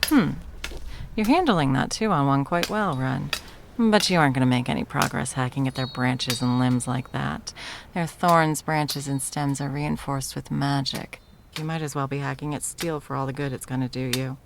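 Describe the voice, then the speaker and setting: A woman's voice: a bass voice, normal speed, vocal fry, background noise. A woman voicing an arrogant, undying sorceress tyrant in an ASMR roleplay, taunting, theatrical and condescending. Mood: condescending menace. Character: arrogant undying sorceress tyrant, mocking, easily bored, playful. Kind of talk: ASMR roleplay audio drama.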